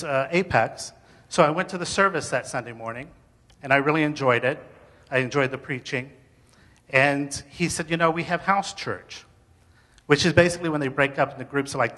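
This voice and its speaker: Male testimony speaker, bright forward voice, informal and halting delivery, reverberant hall. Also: fairly high pitch. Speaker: speaker sharing faith story. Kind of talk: personal testimony told to an audience.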